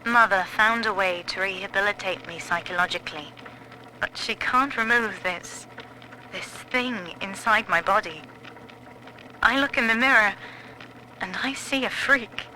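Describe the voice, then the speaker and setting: A haunted young woman confiding in a game audio log. Her voice is very bright and tense, mid-pitched, and her words are articulate. A faint noise accompanies the recording. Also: low-quality recording. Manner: confessional, articulate. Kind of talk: dramatic recorded audio-diary monologue.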